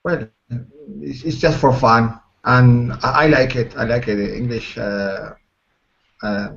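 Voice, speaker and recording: A man, an English learner speaking hesitantly with many pauses and apologies. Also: mid-range voice, steady tone, vocal fry, fairly bright tone. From Spain. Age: 40s. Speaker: student. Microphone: video call. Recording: muffled video call audio.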